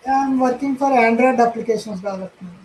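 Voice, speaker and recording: A man with an Indian English accent speaks haltingly over a video call, filling pauses and answering with repeated yeses. Faint noise runs under his voice. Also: very high voice, darkish tone, rough recording. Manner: hesitant, repeats short agreements. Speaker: student, software developer. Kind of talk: casual video-call lesson.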